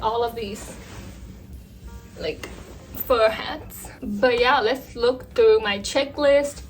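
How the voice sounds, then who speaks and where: A Vietnamese woman in her twenties, chatting excitedly and poking fun at herself while packing at home, filmed on a phone. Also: on the high side. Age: 20s. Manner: chatty, excitable and self-mocking. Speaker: vlogger. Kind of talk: casual vlog chat.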